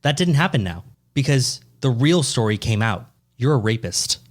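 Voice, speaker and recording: A man's voice: medium pitch, big pitch swings, moderate pace. A man in his twenties co-hosting a comedy podcast, teasing and laughing often in casual chat. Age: 20s. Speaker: podcast co-host.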